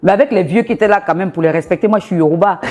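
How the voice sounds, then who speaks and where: A young woman from Côte d'Ivoire chats as an interview guest, lively and laughing often. Her voice sits a little low and sounds mellow and warm. She talks in an easygoing, animated way. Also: racing, soft background music. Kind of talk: casual interview chat.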